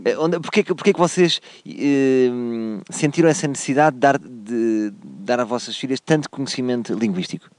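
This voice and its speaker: A man hosting a comic radio chat, teasing and joking with quick asides. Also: medium pitch, darkish tone, no echo. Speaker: radio host.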